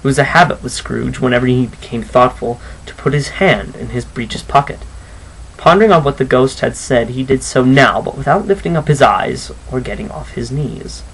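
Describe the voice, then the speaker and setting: A man reading a story aloud as an amateur narrator, with expressive delivery. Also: medium pitch, normal speed, gravelly, breathy edge. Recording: noisy amateur home recording.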